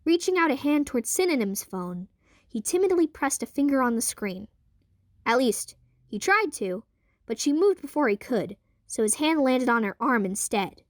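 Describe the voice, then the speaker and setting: A woman's voice: high voice, big pitch swings, moderate pace, dry recording, studio quality. A young adult woman reading scripted narration for an audio drama.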